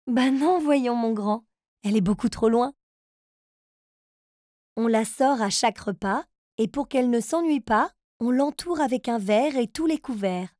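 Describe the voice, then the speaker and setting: A woman's voice: fairly high pitch, measured pace, darkish tone, no echo, clean studio sound, close to an everyday voice. A woman voicing a kind, encouraging grown-up who leads a riddle game for little children in a cartoon, speaking in simple phrases. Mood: kindly, explaining.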